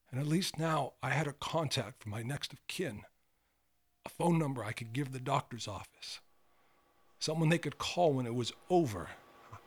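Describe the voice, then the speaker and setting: A man's voice: mid-range voice, slightly rough. Remorseful, subdued old man. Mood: resigned. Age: older. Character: remorseful dying old man, recovering addict and ex-convict. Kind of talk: audio drama monologue.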